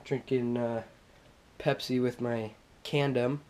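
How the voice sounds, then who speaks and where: A young man with a stuffed-up cold voice, casually showing off his things in a halting home vlog full of fillers. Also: medium pitch, steady tone, darkish tone, clean tone. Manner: halting, many fillers and restarts. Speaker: vlogger. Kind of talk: casual show-and-tell vlog. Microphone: action camera on a tripod.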